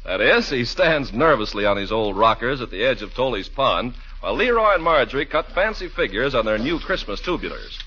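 A man's voice: medium pitch, sing-song, brisk, few pauses, bright, forceful tone, breathy edge, heavily acted. A man narrating as the announcer of a scripted 1940s radio comedy. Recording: muffled 1940s radio recording.

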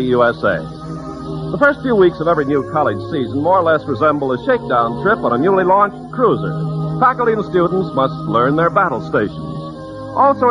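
A man's voice: a little high, highs cut off. A man announcing and narrating an old-time radio show in a scripted style. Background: loud music.

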